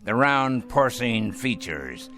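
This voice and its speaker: A man's voice: medium pitch, sing-song, pushed and bright, faint music behind, full character voice. A man acting in a radio drama as a bitter, domineering, dying rich old grandfather who talks in a theatrical, mocking way. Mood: mocking, sardonic. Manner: theatrical, mocking, drawn-out delivery.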